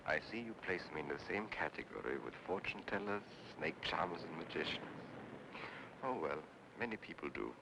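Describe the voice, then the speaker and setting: Deep-voiced, mysterious noir psychic. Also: male voice, expressive, vocal fry. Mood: knowing, wistful. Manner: theatrical, measured, philosophical. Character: suave, mysterious male psychic consultant, softly seductive and insinuating. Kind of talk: 1940s film noir acting. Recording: noisy old film soundtrack with rumble.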